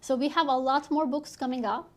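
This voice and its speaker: A woman's voice: sits high, fairly quick. A middle-aged woman from Turkey, a native Turkish speaker, explaining her work steadily as an author and publisher in an interview. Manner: steady, explanatory, frequent affirming murmurs. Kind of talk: conversational interview.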